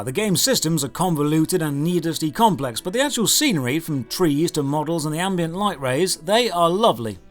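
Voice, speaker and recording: High-pitched man, dry sarcastic game review. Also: fairly bright tone. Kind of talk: scripted humorous game review narration. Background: game music under the voice.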